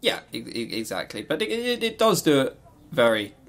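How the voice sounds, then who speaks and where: Gravelly, joking man chatting on a podcast. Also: medium pitch, very animated, moderate pace, a bit bright. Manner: sweary, jokey, rambling. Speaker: podcast co-host. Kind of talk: casual unscripted visual novel review chat.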